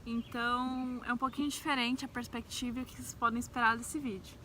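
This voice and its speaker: A woman's voice: fairly high pitch, level tone, brisk, sharp tone. A young adult woman with a central-west Brazilian accent, telling personal stories in a casual, chatty vlog style, often checking in with little agreement tags. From Brazil, grew up in Brasília. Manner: informal, peppers speech with tags seeking agreement. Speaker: travel vlogger. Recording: faint background noise.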